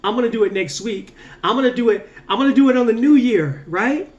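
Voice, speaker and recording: A man talking as a mindset coach, giving an earnest, casual motivational talk to camera, rising on key points and slowing down to stress his words. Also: very high voice, expressive, a little mellow, highs cut off. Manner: earnest, repetitive, emphatic with drawn-out slow endings.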